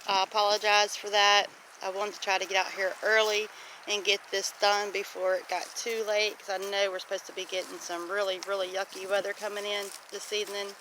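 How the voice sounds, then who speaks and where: A woman's voice: mid-range voice, flat delivery, normal speed. A woman with a Southern US accent chatting in a folksy, casual way as she records a walk-and-talk homestead vlog outdoors. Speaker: homestead vlogger. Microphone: camera microphone. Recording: breezy outdoor wind and ambient noise.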